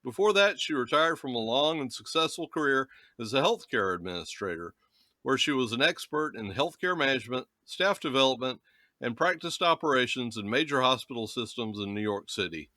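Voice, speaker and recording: Male interview host, formal and polished; tense, bright tone, dead-dry recording. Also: medium pitch, very animated, brisk, dry recording. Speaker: show host. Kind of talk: interview hosting.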